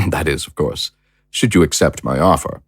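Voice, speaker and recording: An actor playing a coldly polite, powerful villain in an audio drama, speaking in a formal, measured, condescending way. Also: male voice, extremely low pitch, slightly rough, dry recording, studio quality, exaggerated voice. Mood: smooth persuasion.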